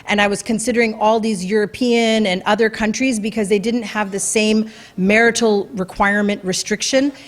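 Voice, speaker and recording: A woman's voice: mid-range voice, fairly even intonation, moderate pace, hardly any pauses. A woman giving an earnest, conversational talk about her own experience at a community event, with fillers and restarts. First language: English. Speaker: speaker at a community talk night. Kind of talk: personal story talk. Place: event venue before an audience.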